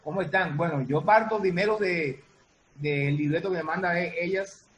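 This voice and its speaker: Male guest producer, slightly high voice, halting casual explanations, faint background noise. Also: low-quality recording. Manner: halting, repeats and reformulates. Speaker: guest, music producer and sound designer.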